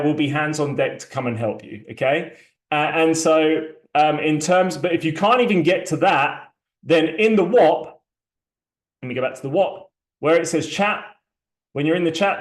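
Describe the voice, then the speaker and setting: Chatty man, echoey room. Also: on the high side. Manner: loose and chatty, many fillers, restarts and apologies. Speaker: community founder hosting a launch call. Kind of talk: casual live walkthrough, screen sharing.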